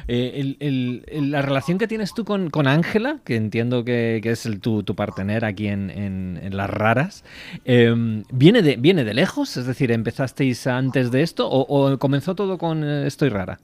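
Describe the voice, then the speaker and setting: A man hosting an interview, asking halting questions and giving frequent short backchannels. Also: medium pitch, animated, a little mellow. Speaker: host. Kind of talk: casual interview conversation.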